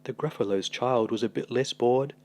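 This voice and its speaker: A man reading a children's picture book aloud as a storyteller, dramatic and expressive. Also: mid-range voice, moderate pace.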